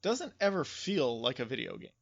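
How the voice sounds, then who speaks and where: A man's voice: a little high, sing-song, moderate pace. A man co-hosting a casual podcast, giving emphatic, informal opinions in a close but slightly dull recording. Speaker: podcast co-host. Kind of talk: casual chat about video game movies.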